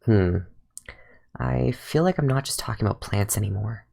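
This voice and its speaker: A young man voicing a calm, sardonic male fox-eared forest ranger and scholar in a fan redub of game lines. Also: on the low side, normal speed, gravelly. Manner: dry, sardonic, with soft musing asides. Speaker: fan voice actor.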